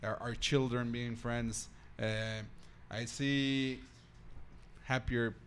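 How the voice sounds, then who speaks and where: A man's voice: mid-range voice, steady tone, extremely slow, a bit bright. Man chatting casually over background noise. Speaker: podcast participant. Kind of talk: casual joking conversation among friends.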